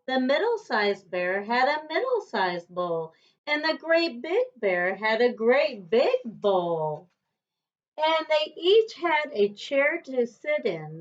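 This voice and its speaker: A woman reading a children's story aloud as a teacher would, in a deliberate storytelling manner with emphatic contrasts. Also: a little high. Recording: dull, lo-fi recording.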